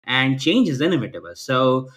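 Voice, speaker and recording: A man's voice: medium pitch, very animated, normal speed, fairly bright tone. A man, answering interview questions earnestly over a video call, restarting phrases often and ending points with "right?". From Pakistan. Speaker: guest.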